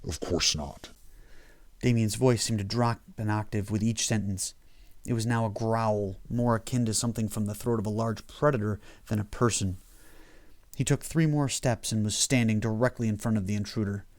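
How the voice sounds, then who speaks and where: A man's voice: lowish voice, steady tone, a little fast, darkish tone. A man reading his own horror short story as scripted audiobook narration.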